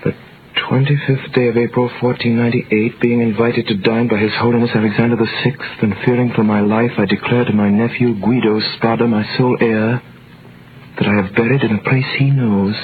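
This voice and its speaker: An actor in an old radio drama playing a devoted, wrongly imprisoned man, narrating and speaking in a measured, dramatic style. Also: mid-range voice, little pitch movement, a little hiss or hum, close to an everyday voice. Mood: puzzled, intent. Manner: measured, dramatic, literary delivery. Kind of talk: 1930s-40s radio drama narration and acting. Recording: muffled vintage radio recording with music.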